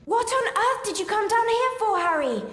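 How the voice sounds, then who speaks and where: A woman voicing a clever, bossy young schoolgirl witch in a video game, brisk and know-it-all. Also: very high voice, animated, a bit bright, slight background noise. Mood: scolding. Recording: echoey, faint music behind.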